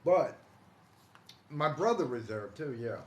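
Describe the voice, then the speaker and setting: A man in his forties with an American accent, telling personal stories casually on a live stream in a halting, repetitive, conversational way. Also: mid-range voice, animated. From Ohio, United States. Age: 40s. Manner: halting, repeats himself, conversational. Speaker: host of a paranormal storytelling live stream. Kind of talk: casual live storytelling to viewers. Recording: roomy echo, light background noise.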